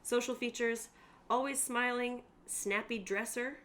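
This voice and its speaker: A woman's voice: on the high side, fairly even intonation, a little slow, a bit bright. A woman game master explaining tabletop rules to players in an informal way and reading out lists of examples.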